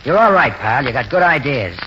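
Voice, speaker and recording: A male actor plays a cold-blooded American gangster of few words, all tough slang. The style is 1940s radio drama, on a dull recording with clear noise. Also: medium pitch, sing-song, a little fast, natural voice. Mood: cool, laconic. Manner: slangy tough-guy talk, laconic.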